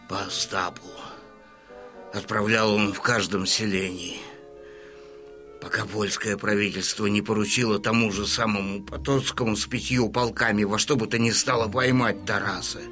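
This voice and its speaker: Slow, solemn Cossack storyteller. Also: male voice, mid-range voice, bright, forceful tone. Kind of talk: dramatised audiobook narration.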